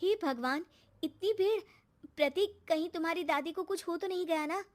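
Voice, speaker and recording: A young cartoon wife, voiced by a woman narrator, dutiful yet cheeky. Her very high voice is expressive and exclamatory, as of someone easily astonished. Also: normal speed. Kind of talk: cartoon story acting.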